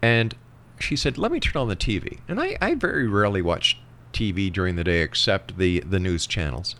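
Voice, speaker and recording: Male talk show host: low voice, widely swinging intonation, emphatic conversational delivery. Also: big pitch swings, a little fast, fairly bright tone.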